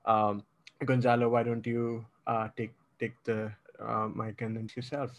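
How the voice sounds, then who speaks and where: A man from India, co-hosting a podcast in a relaxed, slightly hesitant casual chat. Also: mid-range voice, moderate pace. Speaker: podcast co-host.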